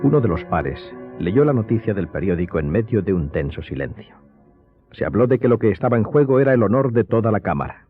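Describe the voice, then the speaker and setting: A man's voice: on the low side, very animated, fairly quick. A man giving scripted dramatic narration as the narrator of a radio drama. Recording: thin phone-like sound, music behind.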